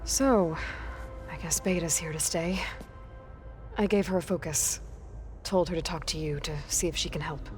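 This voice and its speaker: An actress playing a dry, direct young hunter. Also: female voice, lowish voice, normal speed, darkish tone, faint music behind, natural voice. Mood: even. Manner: direct, dryly understated.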